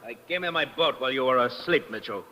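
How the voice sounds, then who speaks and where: An actor playing a smug, cold-blooded crime boss, formal and menacing, in a 1950s radio drama. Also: male voice, mid-range voice, animated, fast, bright, forceful tone. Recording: muffled old radio recording with reverb.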